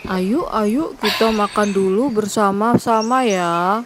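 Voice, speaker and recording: A woman's voice: medium pitch, takes it slowly, background noise, natural voice. A woman playing a kindly, sensible animal friend in a children's storybook drama, reassuring and advising. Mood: consoling, inviting. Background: clear music, birds.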